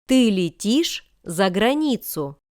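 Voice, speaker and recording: A woman reads short example sentences for a language lesson. Her delivery is slow and deliberate, with every word carefully formed. The voice is crisp and smooth, in a dry recording with no room sound. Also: medium pitch, sing-song, no room sound. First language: Russian. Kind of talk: scripted example sentences read for learners.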